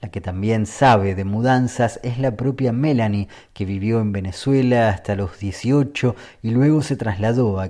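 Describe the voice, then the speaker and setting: A man narrating a scripted radio feature as a reporter, with a measured, even storytelling delivery. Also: on the low side.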